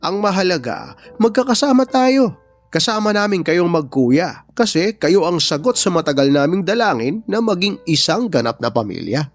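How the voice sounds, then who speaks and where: An affectionate, joking dad speaks with very animated intonation and a slightly high pitch. It is radio drama acting with faint music underneath. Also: male voice, moderate pace. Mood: tender, earnest. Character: affectionate, joking father of two sons.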